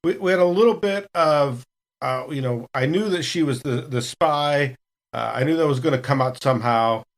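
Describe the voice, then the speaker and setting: A man's voice: a little high, moderate pace. A man chatting casually as a podcast cast member, telling stories in a rambling way. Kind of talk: casual retrospective chat.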